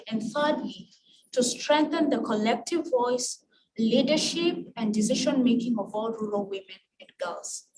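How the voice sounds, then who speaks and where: Noisy distant recording, woman, Kenyan accent, Luo first language, formal speech, measured and emphatic delivery. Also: on the high side, a little mellow. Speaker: guest speaker at an international women's event. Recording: distant mic with clear background noise.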